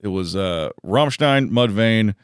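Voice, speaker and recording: A man in his thirties with a lowish voice chatting as a podcast guest, laid-back and rambling, with easy swearing. The sound is dry and close, with no room echo. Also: animated, takes it slowly, clear, resonant tone. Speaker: guest comedian. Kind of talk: casual chat.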